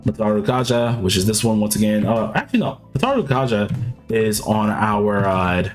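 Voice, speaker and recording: A man with a sick, hoarse-sounding voice, casually explaining his gameplay moves step by step. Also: fairly low pitch, normal speed, faint music behind. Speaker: video game commentator. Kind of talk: gameplay walkthrough commentary.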